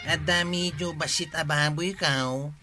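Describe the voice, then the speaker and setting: A man's voice: a little high, fairly even intonation, unhurried, bright, forceful tone, soft background music. A man with an Ilocano accent playing a wily village man offering a bargain in a radio comedy drama. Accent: Ilocano, Philippines.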